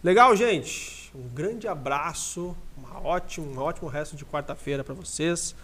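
Podcast host, male, Brazilian accent, somewhat high register; informal, audience-facing live chat delivery. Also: moderate pace, fairly bright tone, husky. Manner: informal, addresses listeners directly.

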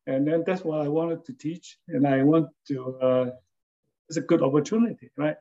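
An older man from Hong Kong, an architect recalling his career in a halting, casual way, often checking in with "okay?". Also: fairly high pitch, dark tone, muffled recording. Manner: halting, many fillers and restarts, tags with "okay?". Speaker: interviewee, architect and former design lecturer. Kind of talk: casual spoken reminiscence.

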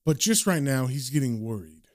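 A man's voice: medium pitch, expressive, brisk, pitched below the performer's own voice, natural voice. A man reading as a comic book's caption narrator, dry, knowing and slangy in British idiom. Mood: wry.

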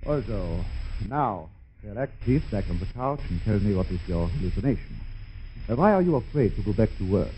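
Coaxing psychiatrist, noisy old radio. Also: male voice, on the low side, very animated, a little fast, lo-fi sound. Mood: soothing. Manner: hushing, stammering, coaxing. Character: prominent psychiatrist soothing and questioning an excitable patient. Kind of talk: 1950s radio comedy acting.

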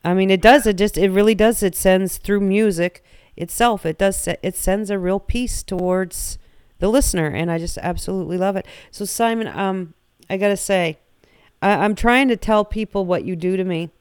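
A woman hosting a casual radio show, chatty and animated, laughing often. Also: medium pitch, moderate pace, clean tone, dry recording, clean studio sound.

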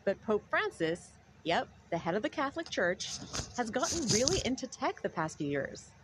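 Lively woman hosting a podcast. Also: a little high, normal speed, very noisy. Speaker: podcast host. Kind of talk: scripted podcast narration, conversational.

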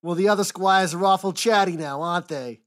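A woman's voice: fairly low pitch, fairly quick, voice pushed down, lightly acted. A woman voicing a sour, blustering old male knight with rustic, folksy grammar in a storybook tale. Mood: grumbling, dismissive. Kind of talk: storybook character voice.

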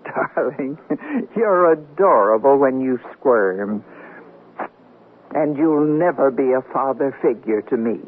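An actress playing a witty, self-assured middle-aged newlywed wife, teasing dryly in a radio comedy drama. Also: female voice, rumbling low, expressive, slow, full character voice. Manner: arch, dry teasing. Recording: tinny, noisy old radio broadcast recording.

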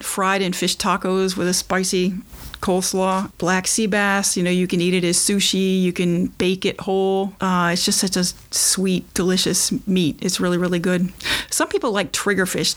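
A middle-aged woman telling easygoing stories about diving and cooking in a casual interview. Her speech is level and flat in pitch, and she often restarts her sentences as she talks. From Kentucky, USA. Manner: chatty, warm storytelling with frequent restarts. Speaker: interview guest, spearfisher.

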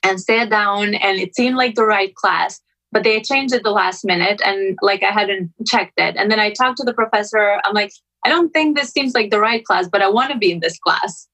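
A young adult woman from Albania speaks as a guest on a podcast. Her voice is bright and sits at an average pitch. She talks informally, telling things in a loose conversational style. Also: moderate pace, rough recording. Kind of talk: casual conversational storytelling.

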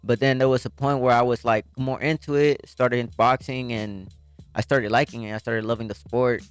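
A young man from Puerto Rico talks about his life as a boxer, earnest and soft-spoken. His voice sits at a middle pitch and drops quietly at times. Faint music plays behind him. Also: fairly even intonation, moderate pace, smooth, no room sound. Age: young adult. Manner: soft-spoken, earnest, many fillers, voice drops when emotional. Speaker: professional boxer guest. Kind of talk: interview storytelling.

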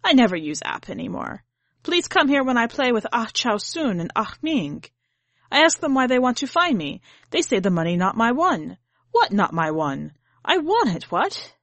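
A woman's voice: fairly high pitch, very animated, moderate pace, no room sound, pitched above the performer's own voice. A woman voicing a blunt, brash old grandmother in a storybook, complaining in colloquial English. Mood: indignant. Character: loud, brash, indignant old grandmother who loves gambling.

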